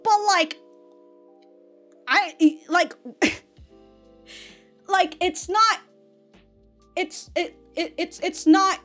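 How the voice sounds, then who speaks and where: An American woman giving casual, rambling reaction commentary, with an animated, slangy, sweary way of talking. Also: very high voice, drawn-out words, pauses often, soft background music. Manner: animated, sweary, slangy, often laughing mid-thought. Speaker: reaction video host.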